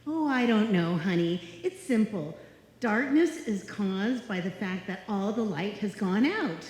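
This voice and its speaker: A woman's voice: mid-range voice, animated, unhurried, darkish tone, close to an everyday voice. A woman playing a sensible, exasperated roommate in a live old-time radio comedy, speaking emphatically. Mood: weary, patient. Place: live stage performance in a hall. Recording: echoey hall, live stage, faint noise.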